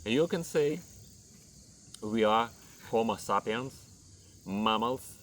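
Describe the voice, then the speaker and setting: A slow, deliberate Ukrainian-accented man with a lowish voice, teaching about fitness in careful non-native English. He walks through his points one at a time. Faint insect chirping hums in the background. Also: animated, a little hiss or hum. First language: not English. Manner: measured, lists items one by one, non-native grammar. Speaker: fitness coach. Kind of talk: slow explanatory talk to camera.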